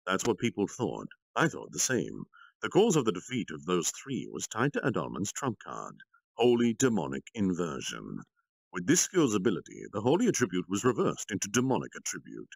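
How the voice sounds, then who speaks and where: A man reading a story aloud on a livestream, with expressive narration and dramatic, measured phrasing. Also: deep voice, gravelly, no room sound. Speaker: storytime streamer, reader.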